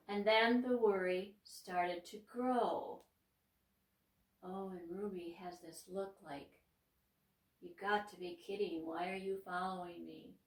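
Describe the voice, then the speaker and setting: A woman's voice: medium pitch, recorded from a distance. A middle-aged woman with an Upper Midwest American accent, reading a picture book aloud to children in a church and stopping to explain the pictures. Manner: gentle, slow and expressive, pausing to explain pictures. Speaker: children's librarian hosting storytime. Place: church room. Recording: roomy sound, mic set back.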